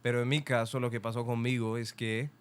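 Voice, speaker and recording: Podcast guest: young Ukrainian-accented man, smooth resonant tone, halting, many restarts. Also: mid-range voice, flat delivery. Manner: laughs often. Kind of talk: casual interview.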